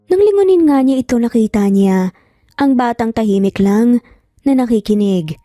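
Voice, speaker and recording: A woman's voice: fairly high pitch, expressive, normal speed, warm tone, no room sound, studio quality, faint music behind. A woman narrating a scripted dramatic story.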